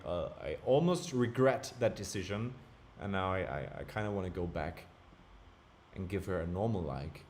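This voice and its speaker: A man's voice: sits low, darkish tone, slight background noise. A young man from Germany with a German accent tinged by British and American sounds, chatting casually as he thinks aloud during a live stream. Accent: German with British and American traces.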